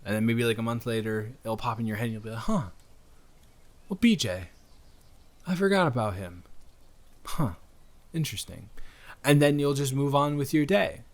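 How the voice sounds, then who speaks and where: A man acts out a wholesome, flirty male stranger in an intimate audio roleplay. He speaks at a medium pitch, straight to the listener. His delivery is rambling and hesitant, earnest and reassuring, with a gently teasing streak. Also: very animated, moderate pace, pauses often.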